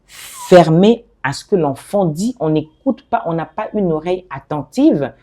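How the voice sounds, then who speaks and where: A middle-aged woman from the DR Congo, an interview guest who talks about her work in an animated, expressive way and often restarts her phrases. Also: fairly low pitch, measured pace. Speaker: guest, fashion designer and author. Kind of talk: conversational interview.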